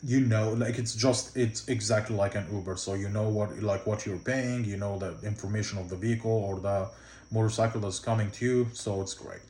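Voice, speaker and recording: A man with an Egyptian accent talks through travel advice in a relaxed, meandering way, stopping often for fillers and restarting his sentences. His voice sits on the low side. The recording has a noticeable echo, as if made in a bare room. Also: brisk, slight background noise. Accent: Egyptian Arabic. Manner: loose, rambling, with many fillers and restarts. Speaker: travel vlogger. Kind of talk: casual travel tips to camera.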